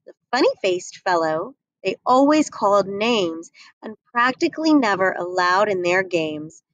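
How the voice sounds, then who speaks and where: A young adult woman reading a rhyming picture book aloud at a desk webcam, slow and expressive. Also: mid-range voice, no room sound, muffled recording. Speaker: storybook reader. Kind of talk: read-aloud storytelling. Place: small indoor room.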